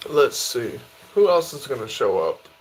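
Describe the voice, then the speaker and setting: A man in his early twenties casually chatting on a live stream, reading out and answering viewer questions in a relaxed, easygoing way. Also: medium pitch, a little slow, slight background noise, low-quality recording. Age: 20s. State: tired. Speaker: livestreamer reading chat.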